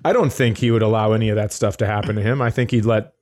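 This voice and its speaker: A man's voice: fairly low pitch, steady tone, fast talker, darkish tone. A man co-hosting a comedy podcast, riffing casually with mock quotes and comic scenarios. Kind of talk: casual joking banter.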